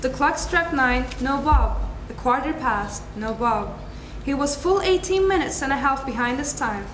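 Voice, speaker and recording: A woman's voice: high voice, expressive, measured pace, fairly bright tone. A young woman giving a dramatic scripted narration of a classic story, as a student reader. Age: young adult. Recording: echoey room with clear background noise.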